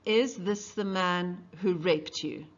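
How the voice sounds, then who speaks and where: A woman reading the part of a patient, middle-aged male defense lawyer, questioning in a measured way. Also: lowish voice. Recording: dull lo-fi recording.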